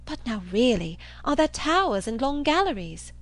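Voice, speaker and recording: A woman's voice: high voice, big pitch swings, normal speed, dry recording, voice pushed up. A woman voicing a naive, earnest young woman, polite and questioning, in a storybook reading. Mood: eager, wistful.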